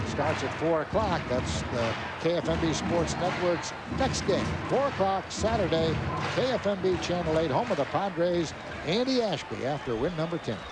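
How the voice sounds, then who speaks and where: An American man giving baseball colour commentary as a broadcast co-announcer. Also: on the high side. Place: ballpark broadcast booth. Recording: very noisy ballpark broadcast.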